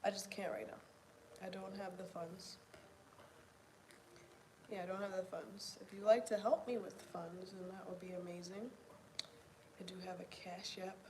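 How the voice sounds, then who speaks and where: Soft-spoken woman, reflective home video journal. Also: medium pitch, fairly even intonation, noisy background. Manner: pauses to think, drops to near whispers. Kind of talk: reflective casual talk to camera.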